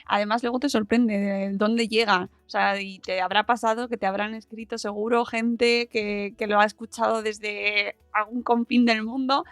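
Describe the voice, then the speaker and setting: A woman's voice: mid-range voice, fairly even intonation, moderate pace, few pauses, fairly bright tone, dry recording, soft background music. A woman hosting a podcast, chatting casually with her guest in an effusive, encouraging way. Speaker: podcast host. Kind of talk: casual conversational interviewing.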